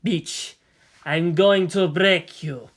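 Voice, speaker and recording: A performer as a sneering, cruel teenage villain. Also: medium pitch. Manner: sneering, taunting, drawn-out menace. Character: cruel, gloating, power-drunk teenage boy villain.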